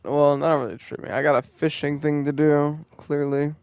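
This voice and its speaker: Young male streamer, 20, gravelly smooth voice, casual and profane, dull dry mic. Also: a little high, flat delivery, moderate pace, no echo. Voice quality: profane, laid-back. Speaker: gaming streamer.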